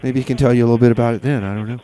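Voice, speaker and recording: A man hosting a community radio show, chatting loosely and haltingly between songs from a studio mic. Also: medium pitch, fairly even intonation, mellow, poor audio. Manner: halting, full of uh and repeated starts. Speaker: radio DJ and host. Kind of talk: rambling casual radio chat.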